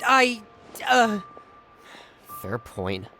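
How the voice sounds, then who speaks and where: An actor playing a blunt, hot-headed field agent in a video game, argumentative, with music underneath. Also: male voice, high-pitched, huge pitch swings, normal speed. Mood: defensive.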